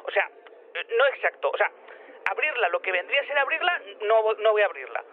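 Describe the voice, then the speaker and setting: Scatterbrained inventor, audio drama: eager, rapid, swooping delivery, stammering and repetitive, thin phone sound. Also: male voice, high voice, sing-song, slight background noise. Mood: eager, hurried. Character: excitable, scatterbrained male inventor, eager and rambling. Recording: narrow phone-like radio link.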